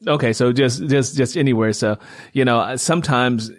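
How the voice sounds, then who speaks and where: A man's voice: medium pitch, moderate pace, dry recording, clean studio sound. A man hosting a casual interview, talking conversationally in a rambling way and repeating his phrases. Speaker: interview host, writer.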